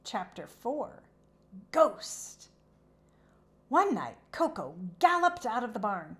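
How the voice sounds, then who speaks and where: A woman reading a children's storybook aloud in an expressive narrating style. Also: on the high side, slow pace.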